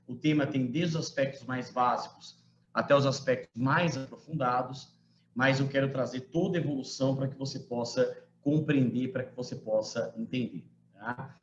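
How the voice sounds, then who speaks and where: A man's voice: medium pitch, steady tone, moderate pace, slight background noise. A man in his forties, a law professor from Brazil, giving a conversational online lecture to students and often checking in with short tag questions. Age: 40s. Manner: conversational, frequent tag questions, emphatic quoting. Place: home room. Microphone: computer mic on a video call. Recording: roomy, muffled video-call audio.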